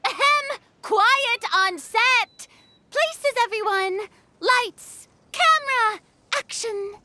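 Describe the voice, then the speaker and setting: Bossy young film director, very high-pitched, bright and forceful, animated, excitable, anime game acting. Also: female voice, extremely slow, a little hiss or hum, full character voice. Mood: commanding.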